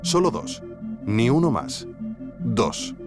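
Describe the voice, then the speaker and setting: A man's voice: mid-range voice, sing-song, takes it slowly, music in the background. A man narrating a scripted investigative podcast, theatrical and speaking straight to the listener. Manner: theatrical, addressing the listener directly.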